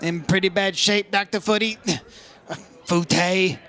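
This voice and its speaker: A man playing a brisk, matter-of-fact insurance investigator in an old-style radio detective drama. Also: sits high, steady tone, fairly bright tone, exaggerated voice. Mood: concerned, probing. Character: brisk male insurance investigator narrating his case. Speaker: actor playing the lead investigator. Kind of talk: 1950s radio detective acting, scripted narration.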